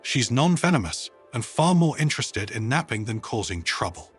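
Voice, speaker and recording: A man's voice: medium pitch, very animated, moderate pace, husky, faint music behind. A man voicing a mischievous, showy security officer in a storybook, with a playful, theatrical, conversational manner. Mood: playfully theatrical. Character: mischievous, showy male human security officer presenting a snake.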